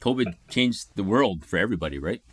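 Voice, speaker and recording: A man's voice: lowish voice, lively intonation, fairly quick, a bit bright, dry recording. A man from Ontario hosting a casual interview, upbeat and chatty. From Ontario, Canada. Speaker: interview host. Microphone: video call setup.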